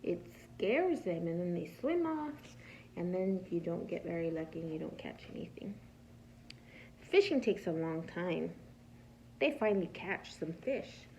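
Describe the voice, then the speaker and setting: A woman reads a picture book to small children like a patient preschool teacher, stopping to ask them simple questions. Her delivery is slow, with a creaky edge to the voice. The recording is a slightly muffled, lo-fi home one. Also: lowish voice, expressive, warm tone, slight background noise. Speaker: preschool teacher reading aloud. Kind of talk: picture-book read-aloud for young children.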